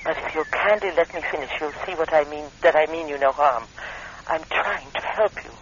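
An actress playing a frail, earnest spirit medium, politely insistent and grave, on the phone in an old radio drama. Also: female voice, sits low, fairly quick, natural voice. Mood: pleading. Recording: noisy, muffled old radio phone call.

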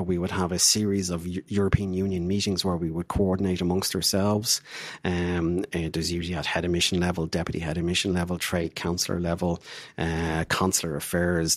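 A middle-aged man with an Irish accent answering interview questions as a diplomat, explaining things in a measured, halting way with repeated words. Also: sits low, no room sound. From Ireland.

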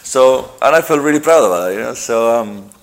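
A middle-aged man from Argentina answering interview questions, halting and repeating himself, with lots of "you know". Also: medium pitch, animated. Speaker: interviewed footballer.

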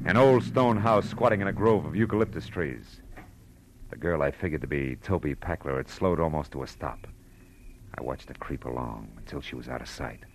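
An actor playing a wry, dogged private detective in a 1940s radio drama, with clipped, world-weary noir delivery. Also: male voice, very deep voice, rough recording. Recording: vintage radio recording with loud music.

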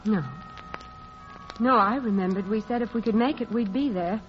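An actress playing a gentle, guarded woman reunited with a lost love in a classic radio drama, halting yet playful. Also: mid-range voice, lightly acted. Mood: playful, rueful. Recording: muffled old radio broadcast, music behind.

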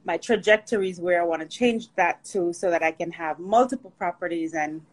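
A woman from Jamaica, chatting as a podcast guest in an upbeat way and often pausing to find her words. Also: lowish voice. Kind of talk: casual interview chat.

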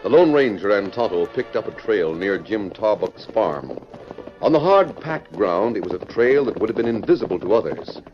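A man's voice: on the low side, animated, normal speed. A man narrating a vintage radio western from a script in a formal, dramatic storytelling manner. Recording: muffled old radio recording. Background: music.

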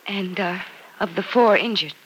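A woman's voice: medium pitch, moderate pace, close to an everyday voice. An actress playing an anxious, weary young woman in an old-time radio soap opera, on a noisy vintage recording. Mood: anxious, pleading. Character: anxious, weary young woman awaiting news of loved one.

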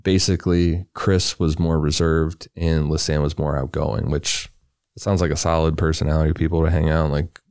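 A man co-hosting a podcast, reading a true crime story in a relaxed, loose way with casual asides. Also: a bass voice, normal speed, no room sound, clean studio sound. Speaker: podcast co-host.

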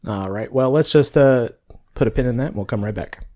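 A man's voice: medium pitch, fairly quick, mellow. Folksy man hosting. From Louisiana, United States. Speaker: podcast host interviewer. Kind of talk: casual chat interview.

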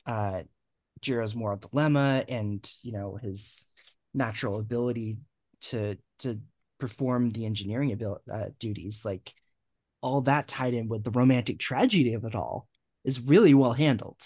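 A man's voice: mid-range voice, moderate pace, a little mellow, no room sound. A male podcast host talking about a film in a hesitant, trailing way with frequent ums. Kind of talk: casual podcast film discussion.

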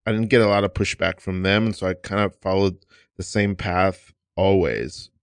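A man in his thirties hosting a casual podcast chat, talking haltingly with many restarts and fillers. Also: sits low, fairly even intonation, vocal fry, clear, resonant tone, no room sound, clean studio sound. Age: 30s. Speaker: podcast host. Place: studio. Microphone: studio mic.

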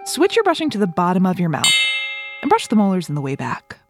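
Expressive woman hosting a children's show. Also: on the low side, quick pace. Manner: expressive, clearly addressed to young children. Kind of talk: scripted storytelling and instructions for children. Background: music.

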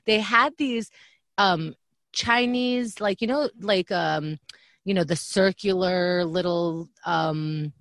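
A woman's voice: on the low side, slow, no room sound, studio quality. A woman from Israel, raised in New York, chatting as a guest and telling stories with lots of "like". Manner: animated storytelling, lots of "like". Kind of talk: casual podcast chat.

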